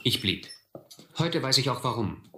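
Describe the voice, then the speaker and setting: An actor playing a reflective man recalling his runaway youth, narrating a radio play in measured, literary sentences. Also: medium pitch, big pitch swings, bright, forceful tone, echoey room. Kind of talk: first-person scripted narration in German radio play.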